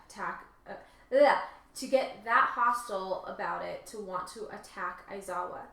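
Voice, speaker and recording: A woman's voice: medium pitch, bright, forceful tone, a little hiss or hum. A woman co-hosting a casual discussion, reading aloud and commenting. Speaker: co-host reading and discussing reviews. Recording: roomy, echoing sound.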